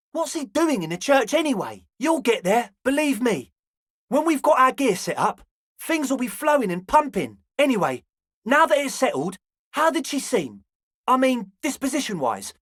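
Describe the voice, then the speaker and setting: Young male raver, game character, very high pitch, animated intonation, friendly, gushing, slangy, earnest. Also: no echo, clean studio sound, exaggerated voice. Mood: wary, chatty. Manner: earnest, gushing, slangy club-kid talk. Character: excitable, friendly young male rave promoter. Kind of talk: video game character dialogue.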